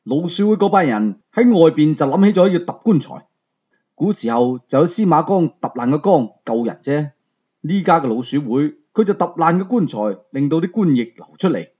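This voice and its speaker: A male storyteller with a Hong Kong Cantonese accent narrates in a colloquial, conversational style. His voice is fairly high and husky, with lively swings in pitch. The recording is a little dull and lo-fi. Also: normal speed. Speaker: audiobook storyteller. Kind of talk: dramatic first-person story narration.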